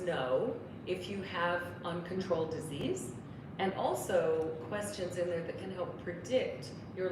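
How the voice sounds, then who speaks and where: A woman from Canada, a clinician on a panel, explaining earnestly and asking many rhetorical questions. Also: lowish voice. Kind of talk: panel discussion answer. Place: briefing room. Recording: echoey room, distant mic, background noise.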